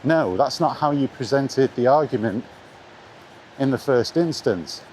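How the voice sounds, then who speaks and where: A man's voice: mid-range voice, animated, measured pace, darkish tone. A middle-aged man giving a casual, blunt opinion monologue as a video blogger, repeating his points for emphasis while recording outdoors. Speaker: video blogger talking about retro games. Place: outdoors in the rain, walking. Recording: noisy outdoor recording with ambient noise.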